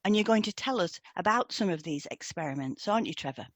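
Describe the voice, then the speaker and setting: A British woman presents a scripted educational podcast in a fairly low voice. She explains things in a measured, orderly way. The sound is very dry, with hardly any room to it. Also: expressive, no echo. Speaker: podcast co-host and hypnotherapist.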